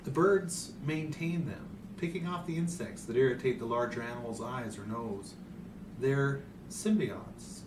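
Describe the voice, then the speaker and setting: A man's voice: mid-range voice, a little slow, close to an everyday voice. A man playing a creepy, outwardly kindly dentist with unctuous, condescending persuasion in an audio story, recorded in a hotel room. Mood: reverent. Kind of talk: hobby audio story reading. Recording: roomy hotel room, distant, noisy hum.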